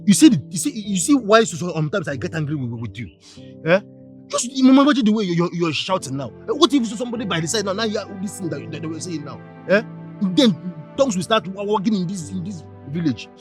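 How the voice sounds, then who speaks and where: A man's voice: high voice, lively intonation, fairly quick, soft background music. A man with a Nigerian accent acting a flustered, pleading young husband who stammers, in a film drama. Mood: exasperated.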